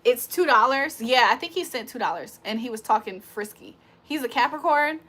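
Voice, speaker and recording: A woman's voice: a little high, animated, moderate pace, fairly bright tone. A woman doing a casual livestream tarot reading, chatting with viewers in slangy talk and reading their comments aloud.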